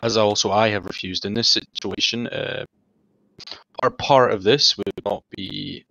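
A man playing a lawyer in online roleplay, explaining himself formally and haltingly. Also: lowish voice, vocal fry, sharp tone, no room sound, low-quality recording.

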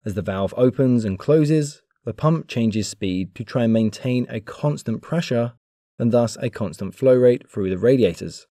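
A man giving a clear, measured, scripted narration for an engineering explainer video. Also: medium pitch, warm tone, dry recording, studio quality. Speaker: engineering educator.

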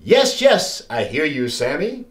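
A man as a brisk, animated schoolteacher lady. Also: fairly high pitch, echoey room, pitched below the performer's own voice. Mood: busy. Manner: brisk, reasoning, explanatory. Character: busy, caring female primary school teacher.

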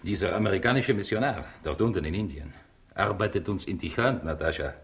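An actor playing a cynical, greedy Soviet agent in a 1960s radio crime play, smug and lecturing. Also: male voice, deep voice, fairly quick, vocal fry. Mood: cynical, calculating. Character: cynical, scheming Soviet agent, male, greedy and self-assured. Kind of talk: 1960s German-language radio crime drama acting.

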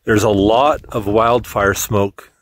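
A man in his forties casually narrating a mountain drive and summit walk, unhurried with pauses, recorded on a handheld camera. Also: fairly low pitch. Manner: relaxed, unhurried commentary with pauses. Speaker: vlogger. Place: in a vehicle, then outdoors on a mountain summit. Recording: outdoor camera audio with noise.

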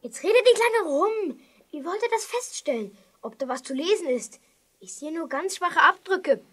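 A woman playing an eager, excitable child detective who talks urgently in a children's audio drama. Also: extremely high pitch, normal speed, soft background music. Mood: eager urgency.

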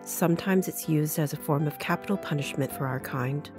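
A woman playing a composed, protective vampire mentor in a video game, measured and matter-of-fact. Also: low-pitched, lightly acted. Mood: calm, confiding. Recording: music behind the voice.

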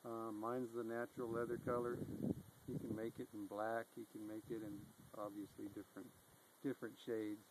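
A man in his forties talks through a piece of outdoor gear in a loose, rambling way, filling pauses with ums and uhs. He is sitting outside in open country, picked up by a camera a few feet off. Loud wind and outdoor noise sit under his voice. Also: fairly low pitch. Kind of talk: casual gear review. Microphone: camera on a tripod a few feet away. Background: steady outdoor noise.

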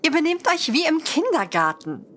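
A woman's voice: sits high, very animated, moderate pace, a bit bright, a little hiss or hum. A woman acting a bossy, teasing friend who mothers her squabbling pals in an audio drama, scolding playfully but firmly. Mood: scolding, reasoning.

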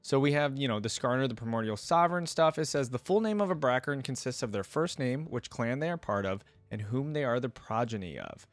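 A man's voice: medium pitch, expressive, fairly quick, hardly any pauses, no room sound, soft background music. A young adult man giving casual, chatty reaction commentary and reading aloud, close to a desktop microphone. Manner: chatty, informal. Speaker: reaction video host. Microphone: desktop microphone close to the mouth.

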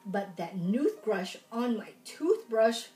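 A young adult woman reading aloud at a children's storytime, expressive and sing-song, with little asides to young listeners. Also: on the high side, takes it slowly, faint music behind. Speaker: children's storytime reader in princess costume. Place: small room at home, indoors.

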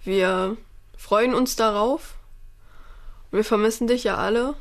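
A woman's voice: a little high, slow, gravelly. Woman making a personal appeal. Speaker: relative of a missing person.

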